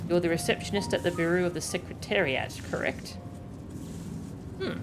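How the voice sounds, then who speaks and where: A video game streamer reading dialogue aloud in a theatrical, deliberate way and reacting to it. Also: medium pitch, noisy background. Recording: game music behind the voice. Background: video game music.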